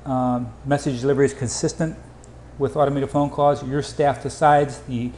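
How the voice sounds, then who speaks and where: A man giving an informal sales presentation over slides, with hurried, clipped phrasing. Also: medium pitch, fairly even intonation, darkish tone. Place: indoor room, voice-over for slides. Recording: roomy echo, faint background noise.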